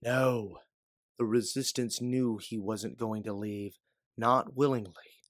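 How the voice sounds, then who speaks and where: Man playing a calm, weary old mercenary. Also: lowish voice, dry recording, clean studio sound. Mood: somber explaining. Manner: measured, explaining calmly. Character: aged, scarred mercenary man, calm, weary, self-justifying.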